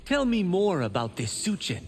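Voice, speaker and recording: An actor voicing a boastful, vain young monk in a video game. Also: male voice, high-pitched, wildly swooping pitch, moderate pace, husky, heavily acted. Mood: cocky.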